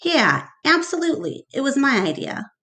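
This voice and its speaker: Blustering male huckster for a children's book, played by a woman, loud salesman manner, raised and very animated pitch. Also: normal speed. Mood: boastful. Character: Mac, blustering, demanding man who runs a mall attraction. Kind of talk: storybook read-aloud character voice.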